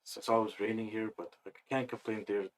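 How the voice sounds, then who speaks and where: Low-voiced man chatting, loose fillers. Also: quick pace, slight background noise. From Afghanistan. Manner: relaxed, rambling with many fillers. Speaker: guest. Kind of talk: casual interview chat.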